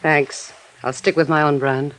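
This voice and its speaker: A woman's voice: deep voice, steady tone, a little slow, fairly bright tone, rough recording. An actress playing a bold, flirtatious married woman in a 1950s radio drama, with an American accent and a coy, teasing delivery.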